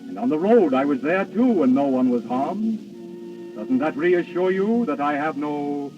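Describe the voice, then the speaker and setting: An actor playing Death in human form in a vintage radio drama, courtly and mysterious, with measured, formal theatrical diction. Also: male voice, on the high side, lively intonation. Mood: reasoning, courtly. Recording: muffled old radio recording, distant, music behind.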